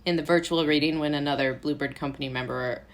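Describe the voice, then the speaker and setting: Low-voiced young woman, chatty and goofy. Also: steady tone, fast talker. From Brooklyn, New York. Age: young adult. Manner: self-described goofy, chatty with frequent "like". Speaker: interview guest, playwright and actor. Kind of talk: casual interview chat.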